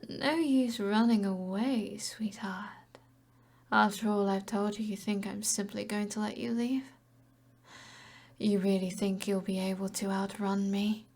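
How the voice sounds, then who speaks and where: A woman's voice: medium pitch, steady tone, unhurried, darkish tone. A British woman playing an alluring, commanding evil sorceress in an ASMR roleplay, half-whispering in a teasing, possessive way. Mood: menacing, coaxing. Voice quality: breathy, whispery.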